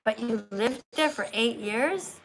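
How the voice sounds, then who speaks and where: A woman with a fairly high voice hosts a live call-in chat. She speaks like someone giving a lesson, in short emphatic statements, and presses with pointed questions. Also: takes it slowly, fairly bright tone, a little hiss or hum, rough recording. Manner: probing, presses callers with follow-up questions. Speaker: livestream host.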